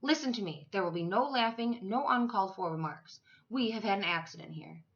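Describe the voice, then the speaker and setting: A woman voicing a big, brisk, kindly storybook lunch lady with a large voice. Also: animated, lo-fi sound, close to an everyday voice. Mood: stern. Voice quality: large, booming voice. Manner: bossy but affectionate, addressing small children.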